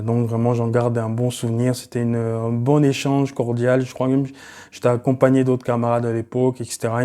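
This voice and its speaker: A man in his late twenties, originally from Cameroon, chatting casually in a vlog with loose, rambling talk full of fillers. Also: mid-range voice. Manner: relaxed and rambling, many fillers like voilà and euh. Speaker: YouTuber.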